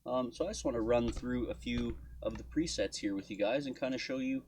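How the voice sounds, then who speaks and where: A man giving an informal software walkthrough as a tutorial host, thinking aloud as he goes. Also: mid-range voice, brisk, slight background noise. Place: indoor desk at home studio.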